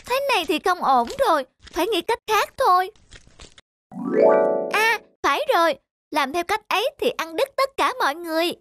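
Woman voicing an eager high-pitched little cartoon bird. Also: very animated, normal speed, full character voice. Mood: plotting. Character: eager excited young forest bird, cartoon. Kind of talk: animated cartoon dubbing. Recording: loud music over the voice.

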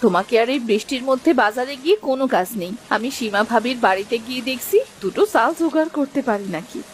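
A woman's voice: fairly high pitch, animated, quick pace, slight background noise, lightly acted. A woman playing a careworn, practical village mother in a Bengali cartoon story, earnest and entreating. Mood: resigned.

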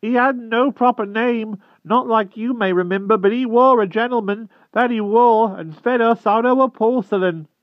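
A man's voice: very high voice, moderate pace, hardly any pauses, no room sound, voice pushed up. A man voicing a timid old male hedgehog who pleads in a thick rustic country dialect. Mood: pleading. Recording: tinny phone-like dry sound.

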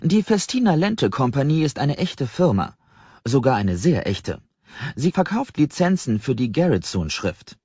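A man's voice: medium pitch, big pitch swings, measured pace, warm tone, breathy edge, pitched above the performer's own voice, exaggerated voice. A man voicing a cheerful, welcoming doorkeeper of a secret library in an audiobook, friendly, amused and eager to explain. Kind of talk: audiobook character voice. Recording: slightly dull, roomy audiobook recording.

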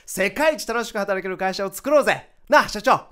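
Radio drama, exuberant, chatty male startup co-founder; high bright voice, friendly casual banter. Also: animated, moderate pace, clean tone. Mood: excited, cheerful. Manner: exuberant, chatty, swinging from cheers to concern. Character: excitable, upbeat male vice president of a new startup.

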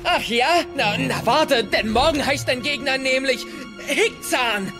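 A man playing a sarcastic, awkward young Viking dragon rider who rambles with self-irony in an animated-series audio drama. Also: very high voice, takes it slowly, music in the background, full character voice. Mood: defiant, awkward.

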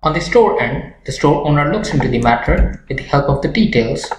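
A young man presenting a software tutorial in a scripted narration style. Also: a little high, animated, fairly quick. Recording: roomy echo with background noise, clicks.

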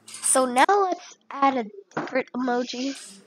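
A woman's voice: high voice, unhurried, slight background noise, low-quality recording. A young woman who is sick and sounds a little off, chatting casually with many fillers as she walks through a screen recording. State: sick, voice a bit off. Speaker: channel host.

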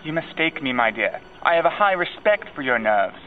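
A man reading an audiobook and voicing a dry, sarcastic older country gentleman with a stern, sardonic manner. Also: on the high side, sing-song, moderate pace, slight background noise. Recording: lo-fi with music behind.

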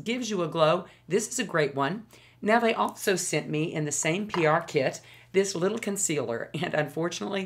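A woman in her fifties with a low, hoarse voice from a lingering cold. She talks to the camera in a chatty, enthusiastic way, gesturing with her hands while she shows products in her home studio. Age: 50s. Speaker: beauty vlogger. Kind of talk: casual product review to camera.

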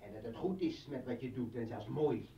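An actor as mocking, volatile militant, distant and echoey. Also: male voice, medium pitch, moderate pace, mellow, natural voice. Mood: bitter brooding. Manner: mocking, sardonic, theatrical. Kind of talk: Dutch radio play acting. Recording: echoey, distant, dull, faintly noisy radio recording.

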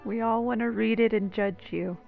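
A woman's voice: on the high side, fairly even intonation, moderate pace, gravelly, phone-quality sound. A woman streamer giving casual, joking commentary as she plays a game. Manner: joking, chatty. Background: game music.

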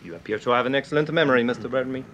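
An actor playing a sceptical, cocksure police investigator in an old radio serial, formal and insistent. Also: male voice, mid-range voice, rapid-fire, a bit bright, lo-fi sound, a little hiss or hum, natural voice. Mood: guarded.

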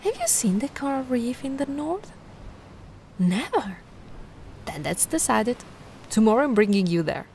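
A giant mermaid girl, acted by a woman in an ASMR roleplay, with a high voice that swoops and lilts widely. She is bubbly and affectionate, cooing and gushing in a cutesy way. Ambient noise runs clearly behind her. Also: sing-song, a little slow, pauses often, darkish tone. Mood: excited, tender. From Italy. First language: Italian. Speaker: roleplay performer.

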